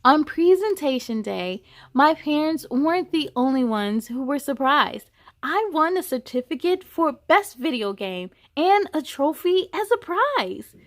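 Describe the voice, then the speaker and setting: A woman reading a storybook aloud as a children's host, animated and speaking directly to young listeners. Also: high-pitched, measured pace. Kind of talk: expressive storybook read-aloud with chatty asides to children.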